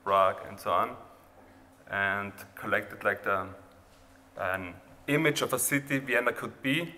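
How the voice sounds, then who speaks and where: A man with an Austrian accent, a native German speaker, giving an informal, halting presentation of his own art project. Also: on the low side, pushed and bright. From rural Austria near the Hungarian border. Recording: reverberant hall, background noise.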